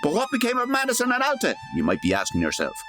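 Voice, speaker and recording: A man's voice: very high voice, wildly swooping pitch, quick pace. A man giving scripted comic narration in a theatrical, wry manner. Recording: loud music behind the voice. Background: musical score.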